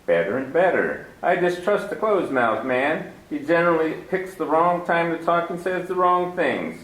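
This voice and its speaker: A florid, scheming crook full of formal flattery. His pitch swings widely as he purrs and wheedles. The radio play has a roomy echo around him. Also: moderate pace. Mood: smooth, scheming. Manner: florid, flattering, overly formal with many sirs. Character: fat genial crook, wordy and scheming, middle-aged man. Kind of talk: 1940s radio acting.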